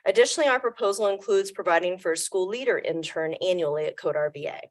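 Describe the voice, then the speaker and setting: A woman reading prepared remarks in a measured formal presentation to a committee, in a meeting room. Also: fairly low pitch. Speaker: program presenter.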